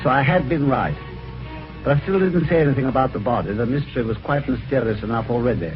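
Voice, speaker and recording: A man's voice: medium pitch, quick pace, close to an everyday voice. An actor playing a well-spoken British amateur sleuth, telling his story conversationally in a radio mystery. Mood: measured storytelling. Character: genteel British amateur sleuth recounting his eerie adventure. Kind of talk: 1950s radio mystery acting, first-person narration. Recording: dull old radio recording, music behind.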